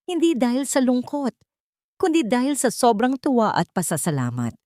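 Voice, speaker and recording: A woman's voice: fairly high pitch, huge pitch swings, moderate pace, a little mellow, no room sound, studio quality. A woman narrating a scripted first-person story in a solemn, emotive storytelling manner.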